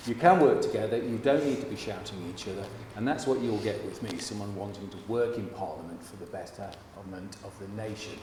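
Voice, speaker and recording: A man's voice: lowish voice, brisk, hardly any pauses, a little mellow, a little hiss or hum. A man standing as an election candidate, who rambles a little and makes self-deprecating apologies. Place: church hall. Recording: echoey church hall, mic at a distance.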